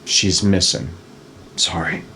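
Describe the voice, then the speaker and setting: A man's voice: sits low, steady tone, takes it slowly, sharp tone, full character voice. A man acting an anguished husband and grieving father at a screenplay table read. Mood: weary. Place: live film festival table read. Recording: roomy live reading, faint noise.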